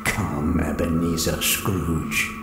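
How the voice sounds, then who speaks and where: An actor playing a solemn ghostly spirit in an audio drama, questioning in a deliberate, probing way. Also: male voice, very deep voice, level tone. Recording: echoey voice over music.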